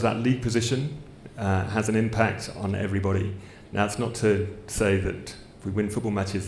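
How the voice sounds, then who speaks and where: Hesitant low-voiced man on a panel. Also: darkish tone, reverberant, slight background noise. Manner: hesitant, many fillers. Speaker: commercial director on a panel. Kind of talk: panel answer at a fans' forum.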